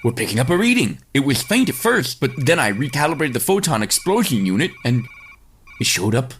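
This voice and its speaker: A man's voice: mid-range voice, sing-song, a little fast, a little hiss or hum, pitched below the performer's own voice, natural voice. A man voicing an earnest agent technician reporting in a radio serial. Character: dutiful male agent technician reporting to boss.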